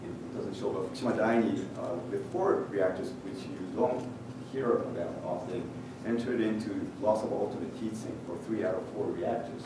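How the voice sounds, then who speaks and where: A middle-aged Japanese man speaking English with a Japanese accent, giving a measured technical conference talk with frequent "uh" pauses and a touch of dry humor. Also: lowish voice. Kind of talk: presentation talk with slides. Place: large conference hall. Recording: echoey hall picked up from far off with noise.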